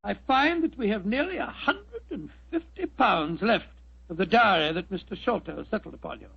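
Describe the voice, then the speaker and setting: Genial older British doctor, high creaky voice, bumbling and repetitive; muffled old-time radio drama. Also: male voice, animated, normal speed, heavily acted. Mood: flustered, fond. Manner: bumbling, stammering, repeats himself. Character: genial, flustered English doctor, fond husband and storyteller. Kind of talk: 1940s radio acting. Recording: muffled vintage 1940s radio recording.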